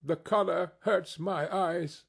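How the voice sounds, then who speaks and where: A man voicing a gentle, grieving mother in a storybook reading, bravely steady but faltering and repeating herself. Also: high-pitched, takes it slowly, creaky, husky, pitched above the performer's own voice, full character voice. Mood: tender, weary.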